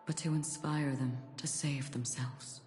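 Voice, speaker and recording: A woman playing a calm, assured, mature leader in a video game, speaking in a composed and deliberate way. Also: very deep voice. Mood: calm, resolute. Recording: echoey, clear music behind.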